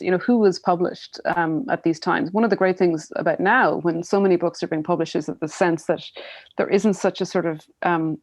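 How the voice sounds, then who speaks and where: An Irish woman in her forties, a writer reflecting in an interview, thoughtful and full of restarts. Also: fairly low pitch. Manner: thoughtful, many fillers and restarts. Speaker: writer, guest. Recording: dull lo-fi audio.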